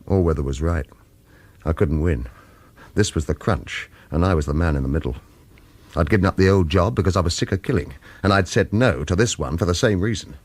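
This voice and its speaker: An actor playing a weary former hitman in a radio thriller, earnest and persuasive, both arguing and narrating his own story, with a slightly husky voice. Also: deep voice, brisk. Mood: tense, reflective. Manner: urgent, insistent, pleading in dialogue, hushed in narration. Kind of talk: radio thriller acting with first-person narration.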